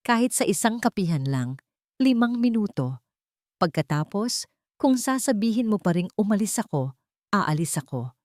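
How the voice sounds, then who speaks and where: A woman's voice: medium pitch, sing-song, normal speed, long pauses, a little mellow, no room sound, studio quality, voice pushed up, natural voice. A woman voicing an insistent, businesslike male CEO in a romance audio story, urgent yet controlled. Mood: insistent pleading.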